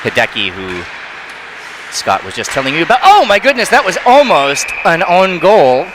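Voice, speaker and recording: Brief: male play-by-play hockey announcer, quick, noisy arena broadcast. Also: fairly high pitch. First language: not Thai. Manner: rapid, excitable calls on big plays. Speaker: play-by-play commentator.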